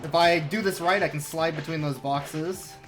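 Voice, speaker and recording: A man's voice: on the high side, moderate pace, noisy background. A young man casually commentating his speedrun of a game, explaining tricks with wry, self-mocking asides. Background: game music.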